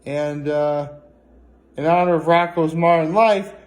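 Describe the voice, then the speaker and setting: A man chatting casually in a vlog, rambling and hesitant, with frequent pauses and filler words. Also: fairly high pitch, fairly even intonation. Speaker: vlogger.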